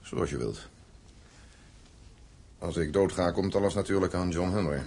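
A stern old grandfather in a radio play. His voice is low and rough with huskiness. He speaks bluntly and formally, with cool bitterness under the measured delivery. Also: darkish tone. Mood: bitter, cool. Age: older. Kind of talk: radio drama acting.

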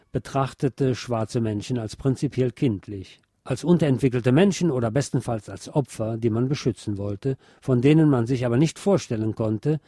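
A man reading a scripted documentary narration in a measured, articulate way. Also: mid-range voice, dark tone, dry recording, clean studio sound.

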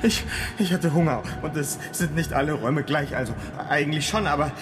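An actor playing a frightened, confused young loner who stammers apologetically in a radio drama, speaking haltingly and repeating words. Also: medium pitch, background noise, natural voice. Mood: anxious, pleading. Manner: halting, repetitive, nervous stammering.

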